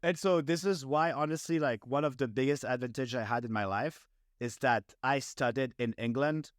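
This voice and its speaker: A man in his twenties, French-born and French-accented, speaking as an interview guest in an upbeat, energetic way with a lot of "like". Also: medium pitch, normal speed, no echo, studio quality. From France. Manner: upbeat, energetic, heavy use of "like". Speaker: guest entrepreneur. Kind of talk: casual interview conversation.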